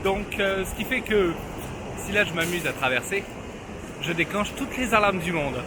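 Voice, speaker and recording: A man's voice: sits high, moderate pace, noisy background. A young man narrating his own travel vlog casually, joking around with slang and poking fun at himself. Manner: joking, slangy, self-mocking. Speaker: travel vlogger.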